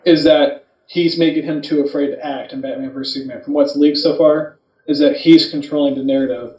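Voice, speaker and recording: A man's voice: on the high side, fairly even intonation, fairly quick, warm tone. A man co-hosting a podcast in casual debate, insistent and explanatory, on a muffled, distant recording. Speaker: podcast co-host. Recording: muffled, echoey, distant microphone.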